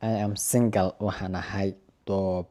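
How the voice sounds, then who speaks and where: A young Somali-accented man reads short English practice dialogues and then explains them in his own language. He has a mellow, dark voice and a patient teacher's manner. He says each English phrase slowly and a little louder, then drops to a quieter voice for the explanation. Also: deep voice, level tone. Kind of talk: language lesson, reading sample dialogues and translating.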